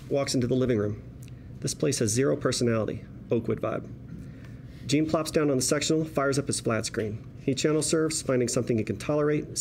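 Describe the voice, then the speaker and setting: A man's voice: medium pitch, a little mellow. A man reading screenplay directions aloud as narration at a table read. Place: room with a group at a table read. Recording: noisy room ambience.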